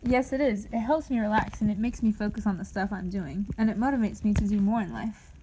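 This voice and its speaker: A woman's voice: medium pitch, a little fast, dark tone, a little hiss or hum, lightly acted, rough recording. A woman playing an earnest young male music fan being interviewed on a radio show, speaking plainly.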